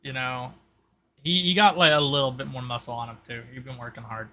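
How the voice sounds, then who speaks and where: A man's voice: medium pitch, fairly even intonation, fairly quick, sharp tone. A man narrating a tabletop roleplay as game master, in a casual, informal storytelling way.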